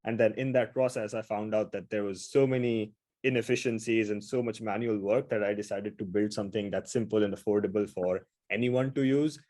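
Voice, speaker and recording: A man's voice: fairly low pitch, fairly even intonation, darkish tone. A man with an Indian accent from India, giving an upbeat, casual webinar presentation over a video call. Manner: upbeat, quick and casual, with frequent fillers.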